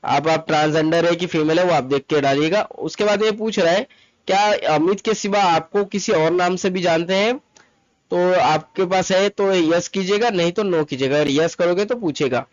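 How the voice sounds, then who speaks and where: A man presents an informal tutorial in a somewhat high-pitched voice. He instructs step by step, repeating himself and dropping in English terms. The sound is very dry and a bit dull and lo-fi. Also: moderate pace, dry recording. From Mumbai, India. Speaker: tutorial presenter, travel agent. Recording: dull lo-fi close dry recording. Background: voice-over to screen recording.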